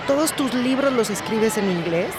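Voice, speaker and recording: A woman's voice: fairly high pitch, lively intonation, moderate pace, a crowd in the background. A woman hosting a podcast interview in a conversational, effusive and affectionate way. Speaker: podcast host. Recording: clear street and vehicle noise behind.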